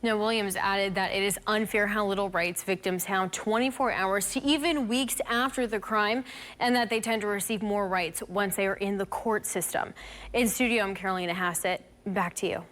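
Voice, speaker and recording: Mid-pitched woman news reporter. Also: fairly even intonation, normal speed, a bit bright.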